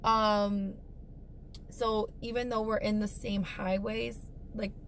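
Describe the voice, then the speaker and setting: A woman in her thirties with a hoarse, scratchy voice, hosting a chatty, frank vlog from inside her car. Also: mid-range voice, takes it slowly. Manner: frank, animated storytelling, repeats herself. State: hoarse, lost voice. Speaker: vlog host. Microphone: dash-mounted camera. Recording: distant mic with loud car noise.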